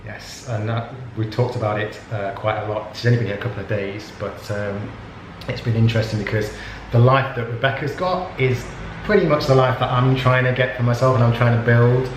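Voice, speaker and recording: A man hosting a casual interview, talking in a relaxed and rambling way with many fillers. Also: mid-range voice, a little fast. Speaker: host. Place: indoors at a yoga retreat. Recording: echoey room with background noise.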